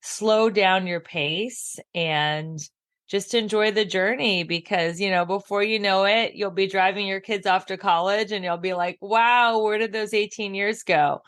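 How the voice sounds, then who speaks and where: A woman's voice: medium pitch, measured pace, a bit bright. A middle-aged woman giving advice in a casual interview, talking emphatically with lots of "like", her voice froggy from just waking up. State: husky morning voice. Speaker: podcast guest, abundance mentor.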